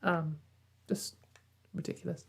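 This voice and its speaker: A woman's voice: on the low side, flat delivery, measured pace, creaky, husky. Sarcastic, exasperated woman. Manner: exasperated, sarcastic, frequent sighs and fillers. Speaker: commentary video host. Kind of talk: casual reaction commentary.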